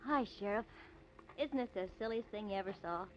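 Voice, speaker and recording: A woman's voice: medium pitch, natural voice. An actress playing a plucky, sassy young woman who retorts breezily, in 1950s radio drama style. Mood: breezy. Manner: breezy, indignant, quick to retort. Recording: dull, noisy old radio recording.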